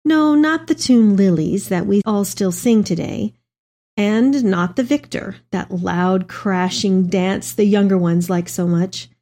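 A woman's voice: medium pitch, slow pace, mellow. A woman playing an elderly, tender mother reading her letter aloud in a reflective dramatic reading. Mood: confiding, wistful.

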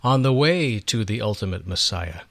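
A man's voice: lowish voice, expressive, normal speed, a bit bright, no room sound. A man hosting a radio show, discussing the Bible in an earnest, emphatic conversational way.

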